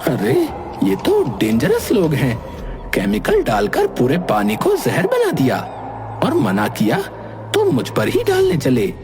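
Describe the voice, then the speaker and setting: Man voicing an animated, earnest cartoon ghost. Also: sits high, measured pace, gravelly, heavily acted. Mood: indignant, alarmed. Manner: earnest, dramatic, pleading. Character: friendly indignant male ghost pleading for forest animals. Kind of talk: animated cartoon character acting. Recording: music bed under the voice.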